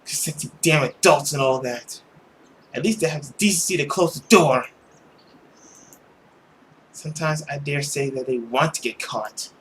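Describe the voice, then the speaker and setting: A man's voice: a little high, moderate pace, pauses often, a bit bright, slight background noise, natural voice. A man voicing a bitter, haughty girl in a visual novel, with a sardonic, theatrical delivery. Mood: bitter, sarcastic. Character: bitter, haughty, sarcastic girl of a genteel household.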